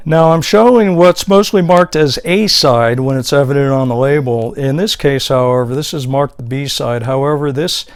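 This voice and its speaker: A man's voice: mid-range voice, fairly even intonation, moderate pace, few pauses, smooth, no room sound. Male collector, mid-range voice, unscripted show-and-tell, casually listing items one after another. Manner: unscripted, listing titles and labels item by item. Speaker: record collector presenting his finds.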